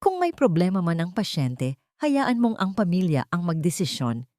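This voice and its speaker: A woman's voice: lowish voice, very animated, normal speed, warm tone, no room sound, clean studio sound. A woman with a Filipino accent voicing a serious, blunt hospital superior in an audio story. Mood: firm warning. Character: stern senior doctor, a cautioning hospital superior.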